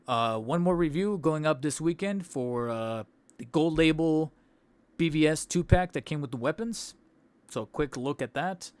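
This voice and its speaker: Young male streamer, fairly high voice, hoarse, casual rambling host. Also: no room sound. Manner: rambling, self-interrupting, laughs between thoughts. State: losing his voice. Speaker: toy channel host. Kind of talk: casual livestream wrap-up chat. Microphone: studio desk mic.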